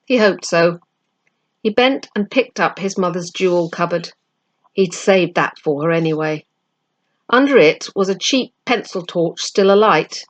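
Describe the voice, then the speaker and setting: A woman with a slightly low voice reads a children's story aloud. She narrates expressively, as a storyteller who also acts out the dialogue.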